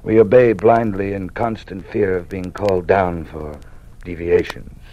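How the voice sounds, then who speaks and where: A weary, fearful old dean. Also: male voice, deep voice, fairly even intonation, unhurried, warm tone, dull audio. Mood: confiding. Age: older. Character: weary older male dean, fearful, cautious. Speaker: college dean. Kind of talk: 1950s radio drama acting. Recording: old radio broadcast with faint hiss.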